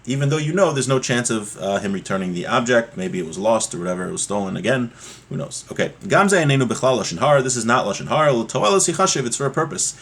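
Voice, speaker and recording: Husky-voiced man teaching religious law. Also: medium pitch, lively intonation, quick pace, a bit bright. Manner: explanatory, checks understanding with rhetorical questions. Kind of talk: text study lesson, reading and explaining aloud.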